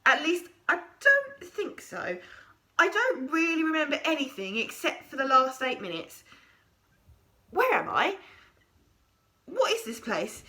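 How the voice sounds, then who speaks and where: Lady doing a big goofy monster guy, loud and excitable, voice jumps way up high and bright. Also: measured pace, long pauses, heavily acted. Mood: excited rambling. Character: giddy forgetful hulking male monster, childlike.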